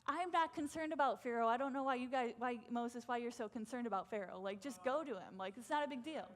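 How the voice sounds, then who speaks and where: A woman voicing a relaxed male deity who reassures in a casual, offhand way. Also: a little high, fast talker, natural voice. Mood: breezy.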